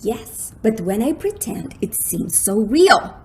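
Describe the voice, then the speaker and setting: A woman acting an excitable, thrill-seeking, easily frightened young woman in a melodramatic, girlish radio drama style. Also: fairly high pitch, slow pace, background noise. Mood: playful, thrilled.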